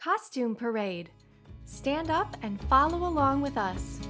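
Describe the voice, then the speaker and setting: A woman's voice: sits high, very animated, a little slow, over music. A woman performer leading a sing-along for young children.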